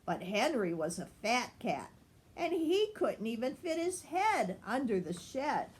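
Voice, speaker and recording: An older woman reading a children's story aloud in an expressive, grandmotherly style. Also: a little high, unhurried.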